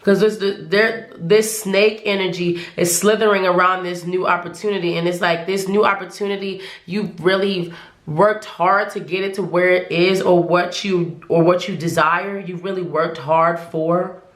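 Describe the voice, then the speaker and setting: A woman's voice: on the low side, fairly even intonation, moderate pace, reverberant. A woman giving a casual tarot reading to viewers, losing her voice, who rambles and often restarts her sentences. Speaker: tarot reader.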